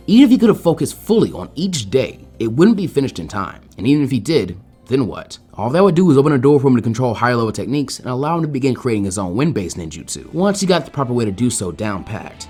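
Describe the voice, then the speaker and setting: A man's voice: medium pitch, a little mellow, slightly rough. A man reading a scripted story aloud as a fan fiction narrator.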